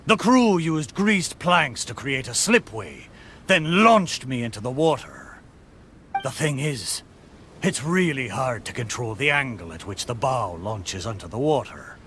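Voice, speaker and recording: Theatrical, bright-voiced seafaring ship spirit. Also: male voice, medium pitch, slight background noise. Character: talking ship spirit, hearty old seafarer, wistful, good-natured. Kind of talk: scripted video game character dialogue.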